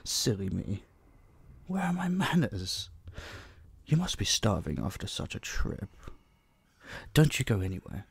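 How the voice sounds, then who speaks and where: Man acting a slow, creaky-voiced possessive vampire. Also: lowish voice, very animated, dry recording, full character voice. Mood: sweetly commanding. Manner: slow, hushed, teasing, dominant, dropping into whispers. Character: obsessive, possessive male vampire captor, menacingly sweet. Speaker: voice actor. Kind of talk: intimate roleplay audio drama, addressing the listener.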